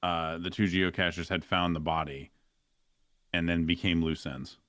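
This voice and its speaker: A man's voice: sits low, fairly even intonation, moderate pace, fairly bright tone, dry recording, studio quality. A man speaking as a guest in a casual podcast discussion.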